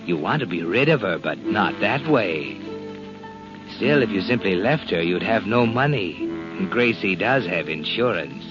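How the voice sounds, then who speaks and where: An ominous narrator of a 1940s radio thriller, taunting like a guilty conscience. His lowish voice is insinuating and sinister. Music runs behind him on a muffled old recording.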